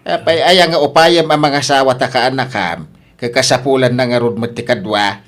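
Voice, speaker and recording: A man's voice: mid-range voice, normal speed, a bit bright, close to an everyday voice. A questioning, blunt older uncle in a family radio drama, played by a man. He has an Ilocano Filipino accent and argues his points plainly. A little noise sits behind his voice. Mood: disapproving.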